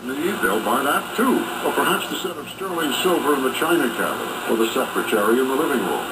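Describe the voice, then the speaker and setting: A man's voice: mid-range voice, animated, brisk. A man reading a scripted, persuasive commercial as a radio advertisement announcer. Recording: distant AM radio playback, heavy noise.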